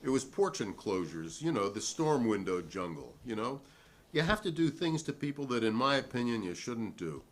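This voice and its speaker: Man playing a defiant, animated American hearing witness. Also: mid-range voice, moderate pace. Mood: matter-of-fact. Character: defiant, principled male witness at a 1950s hearing. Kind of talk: dramatic reading of a hearing transcript.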